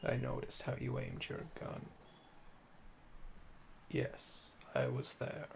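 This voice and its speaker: A man voicing a calm, smug, flirtatious assassin in a slow, intimate ASMR roleplay, deliberate and condescending, often dropping to a murmur. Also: mid-range voice, vocal fry, a little hiss or hum, heavily acted. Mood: probing, admiring.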